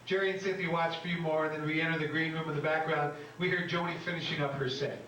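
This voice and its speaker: Male stage-direction reader, bright forceful voice, slightly high, scripted narration, distant mic, roomy, faint noise. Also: level tone, fast talker.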